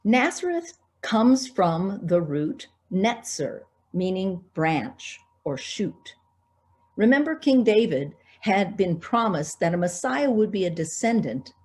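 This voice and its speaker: A woman's voice: lowish voice, darkish tone. A woman pastor delivering a prepared, scripted sermon.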